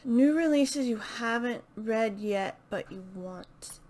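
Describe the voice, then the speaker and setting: A woman's voice: on the high side, expressive, takes it slowly, a little mellow. A woman chatting casually and unscripted as the host of a video channel, hesitant and thinking aloud as she goes. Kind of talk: casual unscripted book chat to camera.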